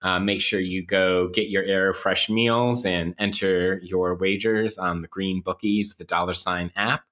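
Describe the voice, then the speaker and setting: A man's voice: low voice, fairly even intonation, a little slow, a bit bright. A man hosting a casual, intellectual podcast interview, with academic vocabulary and a playfully mock-formal manner. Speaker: podcast host.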